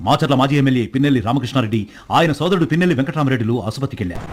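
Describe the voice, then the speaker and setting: A man's voice: mid-range voice, rapid-fire, background noise. A man reading scripted news narration in the manner of a bulletin reporter.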